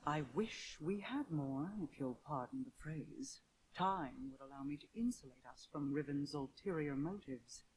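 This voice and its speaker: Slow, solemn queen. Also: deep voice, lively intonation, darkish tone, slight background noise, rough recording. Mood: urgent resolve. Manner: measured, solemn, stately. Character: regal, wary queen, grave and commanding. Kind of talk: dramatic game cutscene dialogue.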